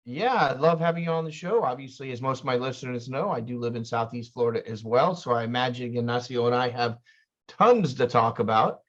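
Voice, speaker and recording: A man's voice: mid-range voice, animated, brisk. A man hosting a podcast interview, asking friendly, rambling questions with fillers. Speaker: podcast host. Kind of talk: casual interview. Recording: dull lo-fi audio.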